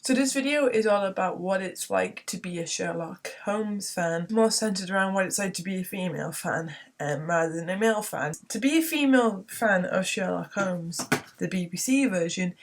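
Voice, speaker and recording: A young woman, hoarse from a cold, ranting earnestly in a casual vlog from her bedroom, rambling with frequent restarts. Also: medium pitch, normal speed.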